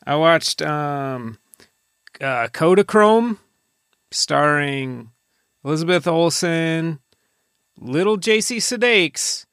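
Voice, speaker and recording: A man co-hosting a podcast, chatting casually about movies in a hesitant, deadpan way. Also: a little high, slow, long pauses, smooth, no echo, clean studio sound. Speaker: podcast co-host.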